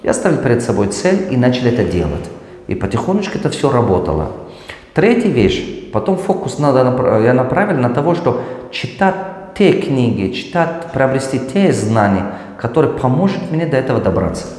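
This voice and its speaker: A man's voice: medium pitch, moderate pace. A man in his forties with a Tajik accent, a business mentor answering viewer questions on raising children, acting out conversations with his kids. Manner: animated, gestures broadly, acts out dialogue with his children. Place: office with glass partitions. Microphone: lapel mic. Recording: echoey room with long reverb.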